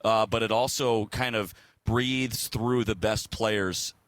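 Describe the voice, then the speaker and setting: A man's voice: lowish voice, fairly even intonation, slow, a bit bright. A man talking as a guest sports broadcaster, explaining hockey analysis in a casual, conversational way. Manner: explanatory, casual, checks in with "right?".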